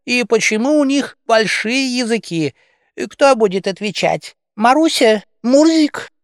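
A man voicing an old female cat schoolteacher with a creaky voice, quizzing kittens didactically in an audiobook fairy tale. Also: very high-pitched, animated, measured pace, no echo, clean studio sound, pitched above the performer's own voice. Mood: prim, drilling. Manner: didactic, questioning. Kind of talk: audiobook character acting, satirical fairy tale.

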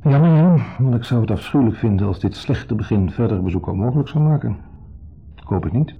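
A man's voice: lowish voice, expressive, fairly quick, gravelly, breathy edge, full character voice. An actor playing a lonely, needy man in a radio drama, insistently friendly and confessional, swinging between eager and downcast. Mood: eager, pleading. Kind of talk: 1970s radio drama acting. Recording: dull 1970s radio recording.

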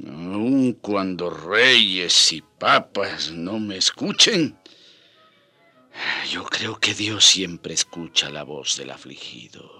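An actor playing a frail, devout old friar in a radio drama, with a husky, worn voice and a halting, earnest, pleading manner. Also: male voice, low voice, very animated, sharp tone, soft background music, heavily acted. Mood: fervent. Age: older.

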